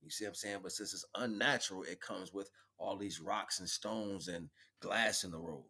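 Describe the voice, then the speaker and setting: A man delivering a rambling spiritual monologue in a halting, wordy way, mixing lofty terms with street slang and rhetorical questions. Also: deep voice, gravelly, pushed and bright, breathy edge. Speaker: streamer giving spiritual talks.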